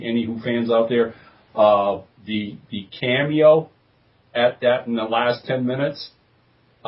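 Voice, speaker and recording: Guy hosting a podcast, gushy and emphatic, sounds far from the mic, muffled. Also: on the low side. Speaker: podcast host. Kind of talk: casual enthusiastic chat about TV. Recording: distant mic, dull lo-fi sound.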